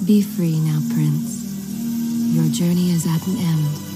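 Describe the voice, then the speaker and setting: Low, even, solemn otherworldly guardian. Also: female voice, slow pace. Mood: serene farewell. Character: serene, solemn otherworldly female guardian saying farewell. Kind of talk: dramatic video game acting. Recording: music clearly under the voice.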